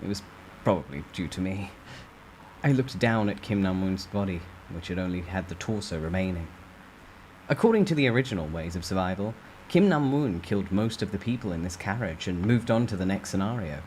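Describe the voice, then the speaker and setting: A man with a fairly low voice tells a story in the first person. He reads dramatically, as a storyteller, with faint ambient noise behind him. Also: expressive, brisk, a little mellow, rough recording.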